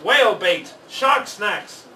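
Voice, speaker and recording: Man voicing a high, bright storybook parrot. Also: expressive, slow, heavily acted. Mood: despairing. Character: pirate's fretful parrot companion, cautious and nagging. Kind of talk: children's storybook character voice. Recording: roomy, distant mic, faint noise.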